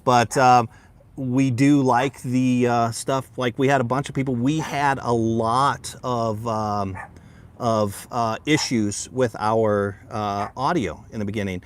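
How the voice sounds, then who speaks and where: A man's voice: mid-range voice, steady tone, slow. Rambling man co-hosting a chat stream. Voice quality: easily heard, carrying voice. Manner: rambling, many fillers and restarts, easy laughter. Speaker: livestream co-host. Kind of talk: casual livestream chat.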